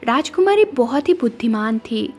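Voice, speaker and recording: A woman narrates a cartoon moral tale in a high voice. It is scripted storytelling, with faint music playing behind her. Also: very animated, moderate pace, husky.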